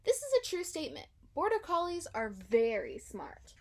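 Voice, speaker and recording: A woman's voice: high voice, huge pitch swings, normal speed. A woman narrating a children's story aloud, expressively and with dramatic emphasis. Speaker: storybook reader.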